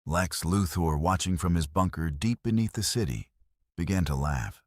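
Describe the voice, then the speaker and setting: A man's voice: low-pitched, expressive, moderate pace, dry recording, studio quality. A man giving a solemn, scripted dramatic narration of a fiction story. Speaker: audio novel narrator.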